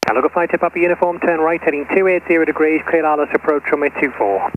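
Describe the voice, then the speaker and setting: A man's voice: on the high side, quick pace. A man working as an air traffic controller, giving procedural instructions over the radio in a clipped, courteous manner. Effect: air traffic radio transmission. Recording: narrowband aviation radio with clear noise.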